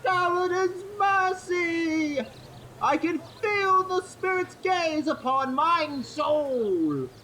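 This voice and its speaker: A man playing a pompous nobleman in a fantasy audio drama, making grand, formal, drawn-out proclamations. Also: very high voice, expressive, bright, forceful tone, slight background noise, heavily acted. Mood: theatrical alarm. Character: pompous nobleman, self-declared lord regent, theatrically addressing a spirit.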